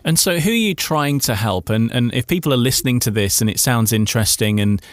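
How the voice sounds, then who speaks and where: A man's voice: medium pitch, expressive, fairly quick, no room sound, studio quality. A man hosting a radio interview, putting long, meandering questions to a guest in a conversational way.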